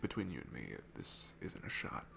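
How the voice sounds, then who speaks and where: Shy, sweet guy alone at a bar, played by a man for ASMR audio: heavy vocal fry, lively shifting pitch, hesitant, stammering and self-effacing. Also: fairly quick, noisy background, full character voice. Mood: confiding. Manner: hesitant, stammering, self-deprecating, breaking into hushed breathy asides. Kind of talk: intimate ASMR roleplay audio acting. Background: music.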